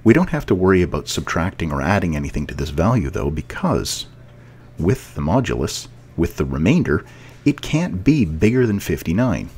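Low-pitched man teaching with lively intonation. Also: moderate pace, breathy edge. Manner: explanatory, step-by-step. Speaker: programming instructor. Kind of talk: casual screencast tutorial narration.

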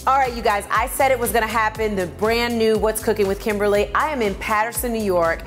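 A woman's voice: mid-range voice, fairly bright tone. A middle-aged woman with an American accent presents casually and enthusiastically as a food show host, gushing and exclamatory. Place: restaurant bar indoors.

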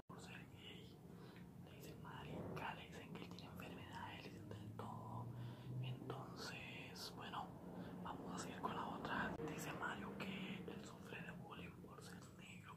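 Whispering man in an ASMR roleplay. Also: on the low side. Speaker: ASMR video creator playing a psychologist. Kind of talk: whispered Spanish ASMR roleplay, giving advice. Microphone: close condenser microphone on an arm.

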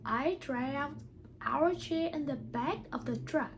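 A woman who speaks English as a second language, talking carefully and haltingly as a teacher doing a read-aloud lesson. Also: sits high, a little hiss or hum. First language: not English. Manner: careful, halting delivery with stumbles over words. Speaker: teacher reading a picture book aloud.

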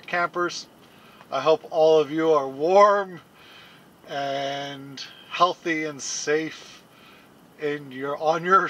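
A man's voice: a little high, a bit bright. A man in his forties chatting to his camera while camping, first outdoors in the snow and then inside a small tent at night, chuckling often and rambling with plenty of fillers. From Canada. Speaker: camping vlogger. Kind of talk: casual vlog chat to camera. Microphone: handheld camera close up, then fixed camera a few feet away.

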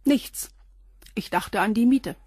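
A guarded concierge. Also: female voice, fairly high pitch, takes it slowly, darkish tone, slightly rough, no room sound, clean studio sound. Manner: guarded, short replies. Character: guarded neat concierge, about forty. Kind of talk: radio play acting.

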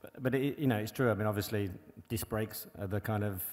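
Man, fairly low voice, rambling guest talk, lots of fillers, echoing hall. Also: brisk, a little mellow. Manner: rambling, many "you know" and restarts. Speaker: bike engineer and former pro racer. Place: large hall on stage before an audience. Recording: long hall reverb.

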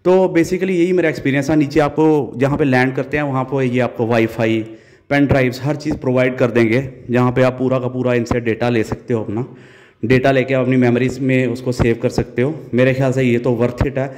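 A man's voice: medium pitch, fairly even intonation, brisk, darkish tone, slight background noise. A man giving practical advice in a casual, explanatory vlog voice-over. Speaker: vlogger.

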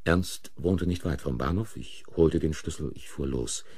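Radio thriller lead: a bewildered, anxious husband caught in a crime, very deep and rough-edged. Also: male voice, measured pace, darkish tone, no room sound, heavily acted. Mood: controlled, brooding. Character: anxious, bewildered married man framed for murder. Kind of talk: radio play acting with first-person narration.

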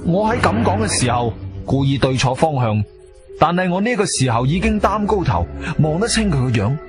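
A man's voice: on the high side, very animated, moderate pace. An actor playing a composed, wronged adventurer who tells his own story in a radio drama, stern and dramatic. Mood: tense, controlled. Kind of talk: first-person radio drama narration and dialogue. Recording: music behind the voice.

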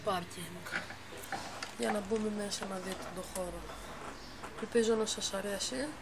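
Μια γυναίκα ξεναγεί χαλαρά σε μια κατασκευή παιχνιδιού, μιλά διστακτικά, διορθώνει τον εαυτό της και εξηγεί βήμα βήμα. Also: female voice, mid-range voice, fairly even intonation. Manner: halting, self-correcting, explains step by step. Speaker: game builder giving a tour. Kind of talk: casual tour of a game build. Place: dim room at home, by a computer. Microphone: phone camera filming the monitor. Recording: noisy home phone recording.

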